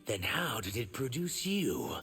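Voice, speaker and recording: A man voicing an earnest, wounded reptilian shapeshifter for a video game, measured and sincere with a gravelly edge. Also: medium pitch, very animated, fairly bright tone, faint music behind, exaggerated voice. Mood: wary, dry. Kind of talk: video game character acting.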